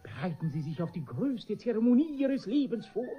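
An actor playing a sly, solemn trickster in a German radio play, flattering a gullible master in a theatrical, portentous, insinuating way. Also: male voice, high voice, fairly quick. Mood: conspiratorial, grand. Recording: dull, muffled old radio recording.

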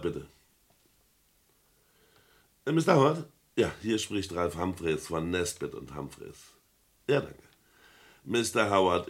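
An actor playing a formal, discreet middle-aged auction-house partner in a German radio crime play. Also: male voice, low-pitched, slightly rough, heavily acted. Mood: businesslike. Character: courteous, measured male London auction-house partner.

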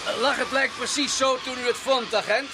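An actor playing a matter-of-fact doctor in a Dutch radio drama, wry and chatty with a bluntly clinical manner. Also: male voice, extremely high pitch, fairly even intonation, fairly quick. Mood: matter-of-fact, insistent. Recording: noisy old radio play recording.

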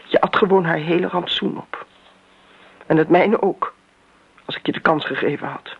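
Husky, bitter grown daughter. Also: female voice, on the low side. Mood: reproachful. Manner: reproachful, scolding. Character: bitter, accusing grown daughter of a dead mother. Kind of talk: radio drama acting. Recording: dull, lo-fi radio recording.

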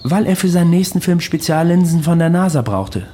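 An actor in a radio play playing an insistent, knowing conspiracy believer who explains confidently. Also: male voice, a little high, animated, brisk, mellow, a little hiss or hum, lightly acted. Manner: confident, didactic.

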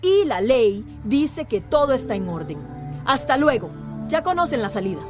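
High-voiced, dismissive lady mayor played by a woman. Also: very animated, moderate pace, music in the background. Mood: dismissive, officious. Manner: brusque, officious. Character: curt, dismissive female mayor defending the law. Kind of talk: radio drama acting.

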